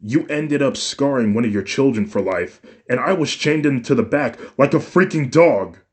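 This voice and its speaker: A man's voice: mid-range voice, expressive, normal speed, a little mellow, voice pushed up, natural voice. A man voicing an anguished young man in a read-aloud fan story, raised and accusing. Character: anguished young man confronting his mother.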